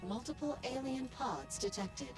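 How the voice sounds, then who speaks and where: A woman's voice: high-pitched, big pitch swings, a little slow. Composed, formal computer assistant voiced by a woman. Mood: clinical alert. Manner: formal, factual status reporting. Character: composed female computer assistant reporting threats. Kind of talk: video game voice acting. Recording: game music behind the voice.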